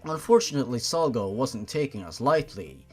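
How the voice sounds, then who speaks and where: A man's voice: medium pitch, big pitch swings, moderate pace, slightly rough, soft background music. A man reading a story aloud like a sarcastic host, with an exasperated, mocking edge. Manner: sarcastic, exasperated, profane asides.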